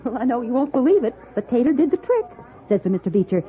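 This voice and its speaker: Excitable young American ranch hand, high swooping voice, folksy, chatty, old radio western. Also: female voice, fast. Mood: excited, cheerful. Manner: eager, rustic, chatty. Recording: tinny old radio recording, background voices.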